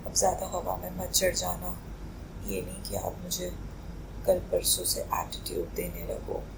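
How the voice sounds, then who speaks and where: A woman playing an affectionate, playful, devoted wife in an intimate romantic ASMR roleplay, speaking tenderly in a breathy half-whisper with light teasing. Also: lowish voice, very animated, a little slow, heavily acted. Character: affectionate, playful, devoted Indian wife adoring her husband. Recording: faint background noise.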